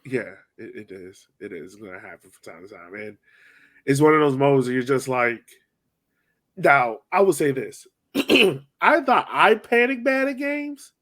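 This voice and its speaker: A man hosting a sports podcast, recapping a match in casual, slangy, animated storytelling. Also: medium pitch, a little slow. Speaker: podcast host.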